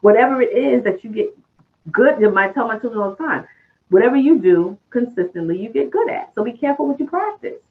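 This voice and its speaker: A host who listens and affirms, then shares thoughtful reflections in a relaxed interview chat. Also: medium pitch, fairly quick. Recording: tinny phone-like line.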